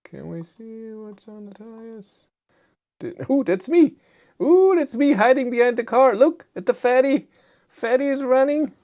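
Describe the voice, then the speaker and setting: A man's voice: lively intonation, unhurried, a little mellow. Hesitant man with a German accent. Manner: hesitant, low-key, many fillers, self-deprecating. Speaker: livestream host. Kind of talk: casual live chat answering viewers.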